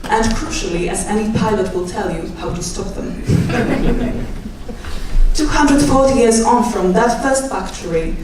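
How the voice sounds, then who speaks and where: A young woman with a Polish accent speaks in a measured, wry way, her voice caught from a distance in a very echoey hall. Her pitch sits in a mid range. A faint hiss lies underneath. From Poland. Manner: measured, wry, self-deprecating asides. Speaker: guest speaker, doctoral student. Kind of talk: prepared rally speech. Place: large indoor hall at a rally. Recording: echoey hall, mic from afar.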